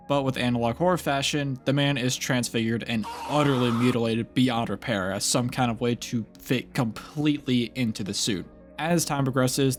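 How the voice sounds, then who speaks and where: A young man giving a casual, loosely scripted commentary that reviews horror series, with occasional stumbles. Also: mid-range voice. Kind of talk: casual video essay commentary.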